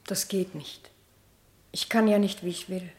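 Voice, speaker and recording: An actress playing a lonely, sheltered middle-aged niece in a 1960 German radio drama, with a hesitant, wistful way of speaking. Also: female voice, on the low side, steady tone, unhurried, dark tone, lightly acted. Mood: guarded, sad.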